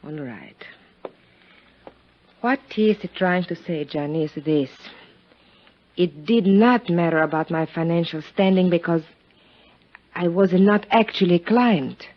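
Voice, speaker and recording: An actress playing a secretive countess, speaking in careful formal phrases in a 1950s radio drama. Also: female voice, lowish voice, a little slow, pauses often. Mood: evasive, composed. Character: elegant, evasive European countess, anxious and secretive. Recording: dull, noisy vintage radio recording.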